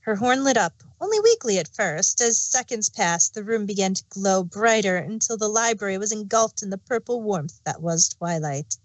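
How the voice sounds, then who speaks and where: A woman's voice: mid-range voice, lively intonation, normal speed, hardly any pauses, dry recording, low-quality recording. A woman reading fan fiction aloud as an amateur storyteller and narrator.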